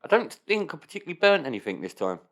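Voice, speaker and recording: Animated man with rhetorical questions. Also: on the high side, racing. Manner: rambling, swears freely, rhetorical questions. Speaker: podcast co-host. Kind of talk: casual banter.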